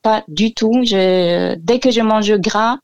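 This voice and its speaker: A woman's voice: medium pitch, dry recording, lo-fi sound. A Colombian woman with a Spanish accent, native Spanish speaker, calling in and describing her problem hesitantly. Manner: hesitant, halting. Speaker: caller.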